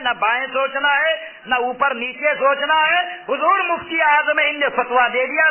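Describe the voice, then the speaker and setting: High-pitched male preacher, tinny sound. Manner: emphatic, repeats key phrases. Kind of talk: sermon explaining a fatwa to listeners. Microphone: loudspeaker microphone close to the mouth. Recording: tinny phone-like loudspeaker sound.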